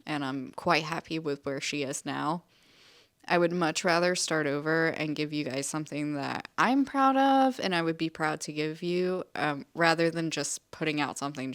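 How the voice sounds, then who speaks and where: A woman's voice: low-pitched, a bit bright, no room sound. A young woman artist chatting casually in a vlog, a little nasally from a cold, with frequent ums and likes. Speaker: artist vlogger.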